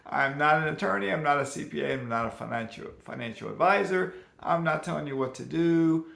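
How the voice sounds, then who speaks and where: A man with a rough, hoarse voice today, chatting casually and rambling about personal finance at home. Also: on the high side, expressive, fairly quick, fairly bright tone, reverberant. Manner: rambling, chatty, laughs at himself. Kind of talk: casual unscripted talk to camera.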